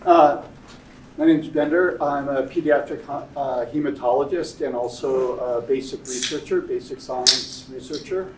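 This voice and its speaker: A man's voice: medium pitch, a little slow. An older man, a doctor on a panel, introducing himself in an earnest, reflective, unscripted way. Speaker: panelist, pediatric hematologist and researcher. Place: indoor event venue, panel talk. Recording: echoey room, distant mic, faint noise.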